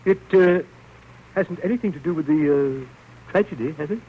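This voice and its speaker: Man playing a prim, nervous gentleman, muffled old radio. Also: fairly high pitch, a little hiss or hum, lightly acted. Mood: polite, uneasy. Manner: prim, halting, throat-clearing. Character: nervous, fussy, proper gentleman on a rest cure. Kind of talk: 1930s radio drama acting, first-person narration.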